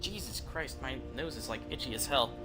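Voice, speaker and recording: A man's voice: medium pitch, animated, moderate pace, over music. A man who streams games, giving casual, rambling commentary and thinking aloud in informal, mildly profane language. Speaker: game streamer.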